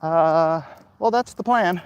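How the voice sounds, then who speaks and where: A middle-aged man talking casually and haltingly to his viewers in a monologue recorded while out walking. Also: a little high, clean tone, lo-fi sound. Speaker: comic book creator vlogging. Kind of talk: casual walk-and-talk monologue. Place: outdoors, walking along a hilly route.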